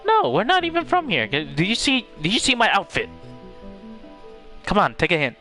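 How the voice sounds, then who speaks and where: A man's voice: high voice, huge pitch swings, normal speed, pushed and bright, faint music behind. A man doing casual game-streaming commentary, playfully talking back to the characters.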